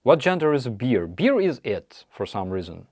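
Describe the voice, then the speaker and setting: A man with a Ukrainian accent hosting a casual live chat, answering viewer questions in halting, apologetic English with many restarts. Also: medium pitch, big pitch swings, a little mellow, no echo, clean studio sound. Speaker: livestream host.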